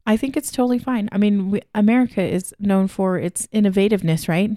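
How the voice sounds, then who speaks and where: A woman's voice: mid-range voice, steady tone, quick pace, dark tone, dry recording. Giggly podcast co-host woman, dry sound. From Hawaii. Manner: bubbly, laughs often, playful. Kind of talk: casual chat.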